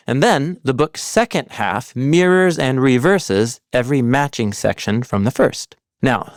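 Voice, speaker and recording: A man's voice: medium pitch, no echo. Dry, close-miked male explainer narrator. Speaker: narrator of an animated Bible overview. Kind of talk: scripted explanatory narration with conversational asides. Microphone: close studio voice-over mic, dry.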